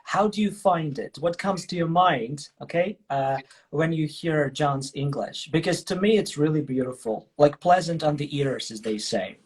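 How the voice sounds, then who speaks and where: A man's voice: a little high, moderate pace, breathy edge. A man hosting a casual livestream chat, enthusiastic and chatty, often checking in with the listener. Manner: enthusiastic, chatty, checks with "okay?" and "you know?". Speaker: host, English teacher.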